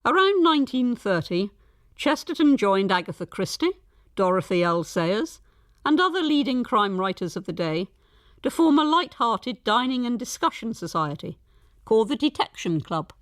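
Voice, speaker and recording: A woman's voice: on the high side, big pitch swings, moderate pace, no room sound, studio quality. A woman working as a radio presenter, moving between scripted narration and interview questions.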